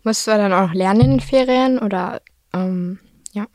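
A woman's voice: mid-range voice, normal speed, darkish tone, no room sound. Young woman, casual, dry close sound. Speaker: student. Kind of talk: casual chat.

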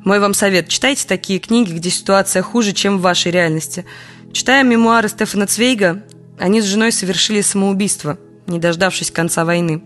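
A woman's voice: medium pitch, a little fast, fairly bright tone, faint music behind, close to an everyday voice. An actress playing a wry, stubborn young woman in an audio play monologue, speaking with dry irony. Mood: dryly ironic. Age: young adult.

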